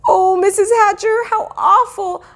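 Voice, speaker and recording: A tearful young girl from a storybook, pleading and apologetic. The voice is pitched very high and wails in a whiny way. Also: fairly even intonation, unhurried, a bit bright. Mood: distraught, sorry.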